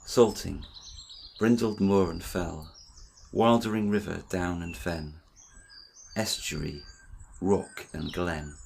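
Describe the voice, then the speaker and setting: A man narrates in a lyrical, scripted storytelling style. His voice is very deep with a gravelly creak, and his delivery is measured. Clear background noise sits under the voice. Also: darkish tone.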